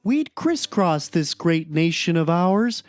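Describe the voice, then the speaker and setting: An actor playing a whimsical, lonely oddball blogger, musing wryly and deliberately in a dramatic reading of a comic blog. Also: medium pitch, big pitch swings, no echo. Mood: musing, eager.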